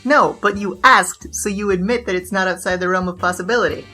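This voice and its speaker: A boastful, flamboyant bandit queen rattles along at high speed with a bright, forceful edge. She is grandiose and quick-witted, and faint music plays under the audio drama. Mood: theatrical.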